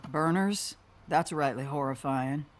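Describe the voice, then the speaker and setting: A gruff cowgirl bounty hunter. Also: sits low, measured pace. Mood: businesslike. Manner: dry, sardonic, folksy. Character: gruff, world-weary female mech-pilot bounty hunter in a post-apocalyptic western. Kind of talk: video game cutscene acting. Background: background music.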